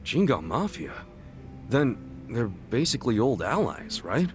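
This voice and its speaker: An actor playing a brash, warmhearted, loyal street tough in a video game cutscene, blunt and informal. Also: male voice, medium pitch, sing-song, a little slow, soft background music. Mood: curious, frank. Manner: informal, blunt, swaggering, foul-mouthed.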